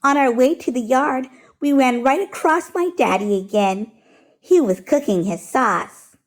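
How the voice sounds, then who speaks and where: A woman hosting storytime, reading a rhyming picture book aloud in an expressive, rhythmic, sing-song way. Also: sits high, a little slow, poor audio.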